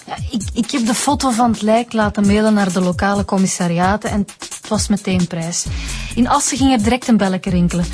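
Woman playing flemish lady cop, eager and stubborn, informal, mid pitch, radio drama w music under it. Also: fairly quick, a little mellow, close to an everyday voice. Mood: eager, persistent. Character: eager, persistent female police inspector. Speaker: police inspector.

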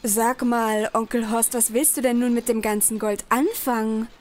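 A woman's voice: on the high side, steady tone, moderate pace, a crowd in the background, natural voice. A woman playing an eager, covetous guild member in a comedy audio drama, with slight noise behind her. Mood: curious.

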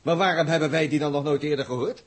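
A man's voice: fairly high pitch, quick pace, slightly rough. An actor playing a shrewd, outspoken crewman in a 1960s radio play, colloquial and wry. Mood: wry, questioning.

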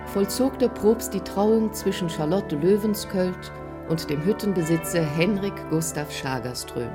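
A woman's voice: fairly low pitch, measured pace. A woman narrator reading scripted literary narration for a drama. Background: music.

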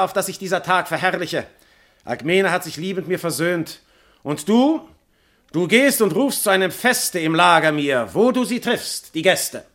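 Amorous, commanding god, wide-swinging voice. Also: male voice, fairly high pitch, fairly bright tone. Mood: triumphant, commanding. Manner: solemn, intense, declamatory. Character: amorous, commanding male god in a husband's guise. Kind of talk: classical verse radio drama.

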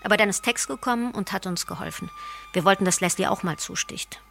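Cult killer, no remorse, just stating it, normal pitch, radio drama music behind. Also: female voice, fast, fairly bright tone. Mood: matter-of-fact. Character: female cult killer, unremorseful, plainly recounting murders. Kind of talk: first-person radio play monologue. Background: clear music bed.

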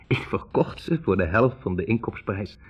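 A man's voice: fairly low pitch, animated, normal speed, breathy edge. An actor playing a young man, a physics student, hesitantly recalling a troubled love in a conversational 1960s radio play monologue. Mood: wry recollection. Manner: hesitant, self-correcting, reflective. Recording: muffled old radio recording.

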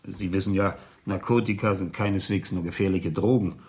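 A diligent male chemist in a radio thriller. His voice sits low with noticeable fry, and he explains himself politely and formally. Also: fairly even intonation, moderate pace, darkish tone. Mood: polite, factual. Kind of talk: 1970s German radio thriller acting.

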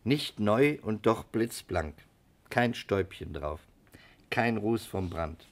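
A deep-voiced detective, polite but probing, old 1960s radio crime play. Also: male voice, animated, takes it slowly. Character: shrewd, courteous male detective quietly cornering a suspect. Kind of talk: 1960s German radio crime drama acting.

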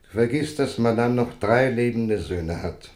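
Actor as a lofty royal husband, echoing room. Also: male voice, medium pitch, unhurried, a little mellow, natural voice. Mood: reasoning. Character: vain, ambitious royal husband, turning domineering. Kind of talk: German radio play acting.

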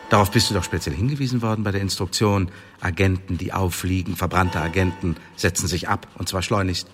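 An actor in a German radio drama playing a cold, mocking secret service tribunal chief, officious and commanding. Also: male voice, low-pitched, steady tone, brisk, a bit bright. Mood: probing. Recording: echoey hall, clear background noise.

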